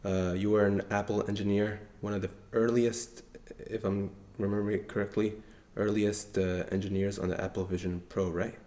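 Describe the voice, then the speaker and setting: A man hosting an interview, asking halting questions filled with ums and uhs. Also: lowish voice, flat delivery, dark tone. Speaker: podcast host. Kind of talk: casual interview conversation. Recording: echoey room.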